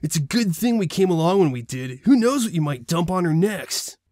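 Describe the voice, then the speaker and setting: Casting: earnest little cartoon pony acted by a man, high and prim, close dry sound, storybook reading. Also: sing-song, normal speed, darkish tone, no echo. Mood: prim reproach. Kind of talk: fan fiction character reading.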